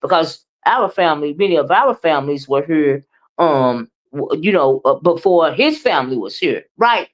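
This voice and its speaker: A woman's voice: deep voice, expressive, normal speed, low-quality recording. An American woman hosting a livestream, chatting casually and emphatically and often checking in with listeners with short questioning tags. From United States. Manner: emphatic and conversational, often ends phrases with a questioning tag. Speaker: livestream host. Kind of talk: casual livestream commentary, responding to chat.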